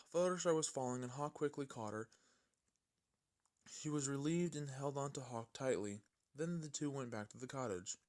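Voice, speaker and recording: Man reading a story, stumbling over words. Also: mid-range voice. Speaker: fan fiction reader. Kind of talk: amateur story narration.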